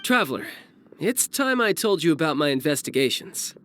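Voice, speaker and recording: A man playing a breezy, cunning young fighter in a video game, smooth-talking and nonchalant. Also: fairly high pitch, big pitch swings, moderate pace, a bit bright, slight background noise. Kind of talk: video game cutscene voice acting.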